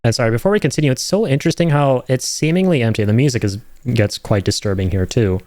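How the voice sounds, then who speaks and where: A man's voice: mid-range voice, fast, background noise. A man giving enthusiastic, speculative commentary on game trailers in a casual streaming style. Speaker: reaction video host, streamer.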